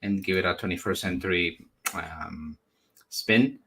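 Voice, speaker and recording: Low, bright-voiced man in his forties. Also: slightly rough. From Spain, raised in the United States. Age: 40s. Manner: halting, repeats words while searching for phrasing. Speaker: interview guest, comic book creator. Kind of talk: conversational interview answers.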